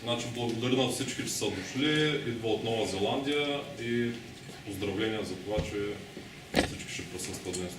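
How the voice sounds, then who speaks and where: A man interpreting a talk and summarizing quickly, with frequent filler sounds. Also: fairly low pitch. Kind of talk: consecutive interpreting. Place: conference hall. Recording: echoey hall, distant mic, noise.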